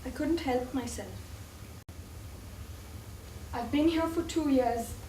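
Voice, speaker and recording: A proud, wounded woman played by an actress in a theatre drama, guarded and defensive about an old love. Her voice is high, heard from far off in a roomy, echoing space. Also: slow, mellow. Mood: defensive, confessing. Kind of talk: theatrical stage play acting. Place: theatre stage, recorded from far off. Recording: distant echoey stage recording, faint noise.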